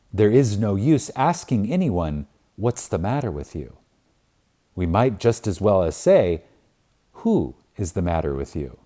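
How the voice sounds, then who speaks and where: A man reading a spiritual self-help book aloud as an audiobook, in a reverent, emphatic manner with long deliberate pauses. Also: on the low side, dark tone. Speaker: audiobook reader.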